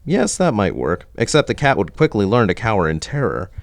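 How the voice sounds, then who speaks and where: A man's voice: fairly low pitch, huge pitch swings, brisk, vocal fry, no room sound. A man hosting a playful scripted podcast interview with a wry, mock-formal manner. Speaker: podcast host.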